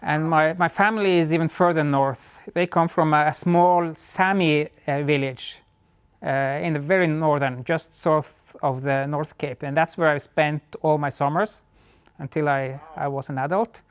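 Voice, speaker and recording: A man's voice: on the high side, fairly even intonation, moderate pace, smooth, no room sound. A man from northern Norway with a Norwegian accent, an engineer talking casually as an interview guest, with thoughtful restarts. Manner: thoughtful, frequent restarts and fillers.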